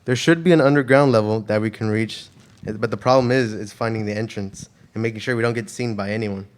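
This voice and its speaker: A man's voice: on the low side, fairly quick, natural voice. A student actor playing an eager, easygoing male ex-treasure hunter and pilot in an amateur radio play. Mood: focused. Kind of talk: amateur radio theater acting.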